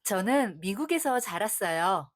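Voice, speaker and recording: A woman hosting an English lesson, reading prompts quickly and repeating English sentences slowly and carefully. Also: medium pitch, big pitch swings. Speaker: host and English learner. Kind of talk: repeating practice sentences aloud.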